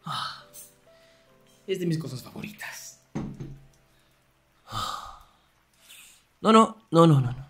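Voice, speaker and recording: A man acting a young, sarcastic and jealous best friend in an ASMR roleplay, teasing in a breathy voice that often drops toward a whisper. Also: fairly high pitch, sing-song, slow, long pauses, fairly bright tone, faint music behind, full character voice. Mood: sarcastic, exasperated.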